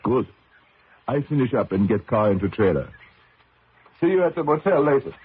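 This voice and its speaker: An actor playing an old, superstitious Cajun race mechanic in a radio drama, solemn, with clipped broken grammar and a Cajun accent. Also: male voice, mid-range voice, sing-song, normal speed, slightly rough. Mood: calm. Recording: noisy, tinny old radio broadcast.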